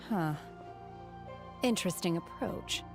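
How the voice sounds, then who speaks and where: An actress playing a reserved, socially awkward young woman in a video game, terse and halting. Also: lowish voice. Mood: blunt, detached. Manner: halting, terse, flat-spoken. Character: aloof, reserved young female adept's disciple, blunt and socially awkward. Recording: music behind the voice.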